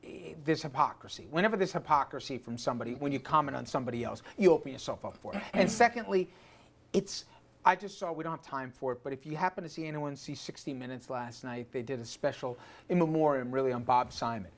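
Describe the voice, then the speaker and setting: Halting American man hosting a panel. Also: medium pitch, slight background noise. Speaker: panel show host. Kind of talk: casual panel discussion.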